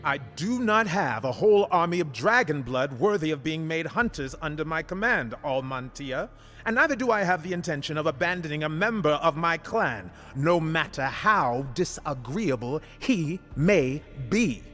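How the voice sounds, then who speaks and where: An actor playing a grave commander of dragon hunters in a fantasy game, speaking formally and solemnly in measured phrases. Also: male voice, a little high, expressive, pushed and bright, soft background music. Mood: grave, resolute. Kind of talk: scripted fantasy game cutscene acting.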